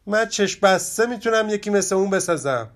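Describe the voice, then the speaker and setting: Cocky young rabbit, boastful, offhand, animated, voiced by a man. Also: sits high, pitched above the performer's own voice.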